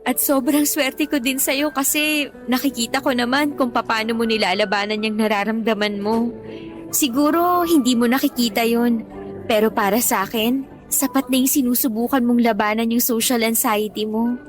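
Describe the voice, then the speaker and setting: A woman's voice: on the high side, steady tone, moderate pace, soft background music, natural voice. A woman acting a devoted, stubbornly loyal young girlfriend in a Tagalog radio drama, with an earnest, pleading delivery. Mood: tender, reassuring.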